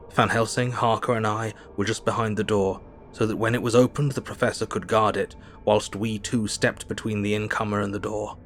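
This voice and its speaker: A man plays a sober gentleman telling his own gothic tale in an audio drama. The voice sits low and moves in measured, literary phrases full of suspense. Music plays clearly behind him. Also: close to an everyday voice. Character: sober gentleman narrator recounting horror from his diary. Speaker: narrator. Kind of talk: dramatic first-person audiobook narration. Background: clear background music.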